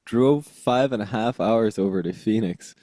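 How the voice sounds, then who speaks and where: A man from Iceland, telling casual anecdotes in an animated manner. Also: lowish voice, measured pace, dry recording. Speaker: podcast host.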